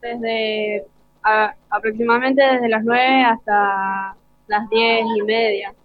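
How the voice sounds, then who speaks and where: A woman's voice: a little high, steady tone, takes it slowly, no room sound, faint music behind. A teenage girl with an Argentine accent, answering casually in an interview as a student. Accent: Argentine Spanish. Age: teenager, final school years. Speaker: student podcaster being interviewed. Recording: dull lo-fi live broadcast sound.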